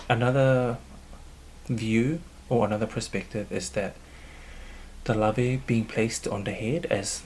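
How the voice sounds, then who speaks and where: Low-voiced New man, thoughtful. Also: a little hiss or hum, low-quality recording. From New Zealand. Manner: thoughtful, hesitant, frequent um fillers. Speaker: cultural leader. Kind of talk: interview answers.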